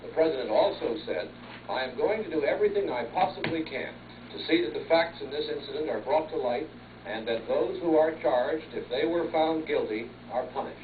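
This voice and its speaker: A man's voice: fairly high pitch, expressive, normal speed, roomy sound, far from the mic. American newsman on hissy, tinny tape. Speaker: TV news anchor. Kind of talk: scripted news report.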